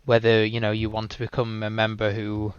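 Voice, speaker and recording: A man with a lowish, flat-toned voice hosts a casual online discussion. He talks in a friendly, rambling manner, affirming others, over slightly dull, dry call audio. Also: brisk, smooth, dry recording. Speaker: round table host.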